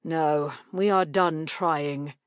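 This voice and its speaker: A woman voicing a cold, dismissive gentleman father with a flat, crisp delivery in an audiobook. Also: fairly low pitch, normal speed, no room sound, studio quality, natural voice. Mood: disgusted, final.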